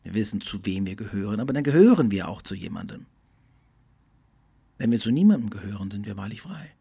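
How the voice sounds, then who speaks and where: A middle-aged man talks through a reflective solo podcast with a gravelly, slightly husky voice sitting a little low. He sounds earnest and thoughtful, slowing down and sinking nearly to a whisper at times. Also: sing-song, warm tone. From Germany. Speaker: podcast host.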